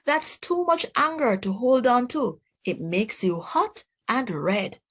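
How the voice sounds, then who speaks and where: A woman voicing a supportive, understanding father in a children's storybook, calm and explanatory. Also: fairly high pitch, slow pace, husky, heavily acted.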